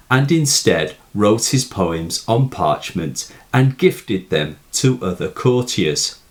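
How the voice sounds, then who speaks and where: A man giving a scripted educational narration on literature, speaking in measured, deliberate phrases. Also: fairly low pitch. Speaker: literature teacher presenting poem analysis.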